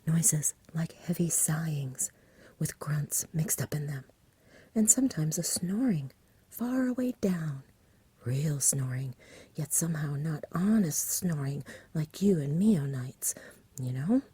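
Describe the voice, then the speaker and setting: Breathy, fretful country shepherd acted by a woman. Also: low voice, expressive, unhurried, warm tone, no echo, full character voice. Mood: nervous. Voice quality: breathy whisper. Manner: agitated, plain country dialect, rambling. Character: frightened rustic shepherd father, fretful countryman.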